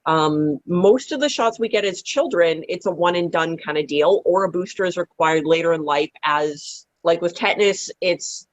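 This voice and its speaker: A woman's voice: normal speed, hardly any pauses, no room sound. A woman in a casual radio discussion, explaining carefully and rephrasing as she goes, on muffled audio. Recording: muffled, dry low-fidelity call audio.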